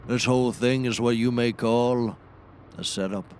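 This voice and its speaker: An actor playing a folksy, dryly sarcastic backwoods man in a scripted comedy, with deadpan delivery. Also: medium pitch, measured pace, a little hiss or hum, natural voice. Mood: dry, wry. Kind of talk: scripted audio comedy acting.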